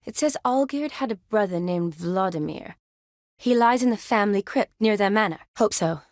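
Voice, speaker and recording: An actress playing a young woman army medic in a video game, caring, playful and briskly practical, with a natural, teasing delivery. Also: mid-range voice, no echo, studio quality, natural voice. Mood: sober, matter-of-fact. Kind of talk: video game character dialogue.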